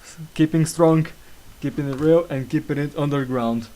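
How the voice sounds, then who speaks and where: A man's voice: a little high, moderate pace, dark tone, low-quality recording. A young man hosting a casual podcast, with a slight cough, talking hesitantly and stumbling through fillers and restarts. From England. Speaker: podcast host. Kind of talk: casual chat.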